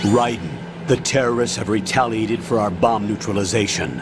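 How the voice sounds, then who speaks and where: A man's voice: on the low side, moderate pace, gravelly, loud music behind the voice. An actor playing a commanding male colonel giving curt orders over the radio in a video game. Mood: stern. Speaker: mission commander. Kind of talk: video game cutscene voice acting.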